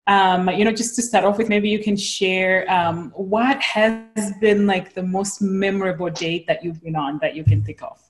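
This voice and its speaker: A woman's voice: mid-range voice, fairly even intonation, normal speed, few pauses. Encouraging middle-aged woman hosting by video call. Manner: friendly and encouraging, with hesitant fillers. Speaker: podcast host. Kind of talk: casual interview on a video call. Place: home room indoors. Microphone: video call webcam mic.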